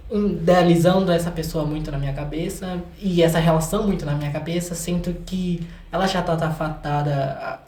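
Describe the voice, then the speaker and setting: A young Brazilian man talking casually and candidly as a guest in an interview, with an expressive manner. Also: fairly high pitch. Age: young adult. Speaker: guest, singer and songwriter. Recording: roomy, echoey room sound.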